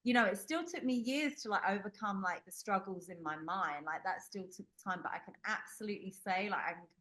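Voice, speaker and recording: A woman's voice: mid-range voice, a little fast, fairly bright tone. Woman, young adult, interview guest, candid, rambling, fillers. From United Kingdom. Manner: candid, rambling, many likes and restarts. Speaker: podcast guest. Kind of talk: personal story in an interview.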